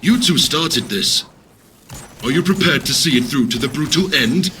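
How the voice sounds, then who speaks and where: Casting: righteous audio drama warrior, forceful, very animated pitch, faint noise behind. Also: male voice, moderate pace. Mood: stern, resolute. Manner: declamatory, forceful. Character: frustrated, commanding male warrior, booming and righteous.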